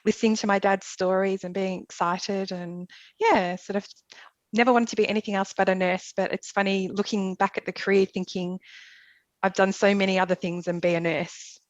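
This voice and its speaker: A woman's voice: medium pitch, level tone, moderate pace, no room sound. Australian female nurse guest from Melbourne, rambling and easygoing, on a slightly lo-fi line. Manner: chatty, rambling with many fillers, laughs easily. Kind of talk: conversational interview.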